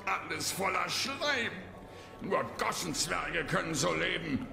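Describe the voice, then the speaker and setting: A gruff dwarf warrior, grumbling and distrustful, gravelly and animated. The radio play voice echoes in a hall over music. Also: male voice, sits high, slow, heavily acted.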